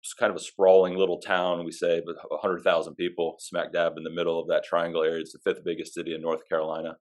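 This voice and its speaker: Deep-voiced easygoing man. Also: completely flat delivery, fast. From Pennsylvania. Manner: easygoing, rambling with fillers. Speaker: athletic director. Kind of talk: casual podcast interview.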